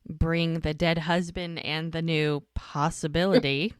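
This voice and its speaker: Low-voiced woman recapping episodes. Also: unhurried, clear, resonant tone, no room sound, clean studio sound. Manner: animated retelling, acts out characters' lines. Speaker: podcast co-host. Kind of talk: casual rewatch recap chat.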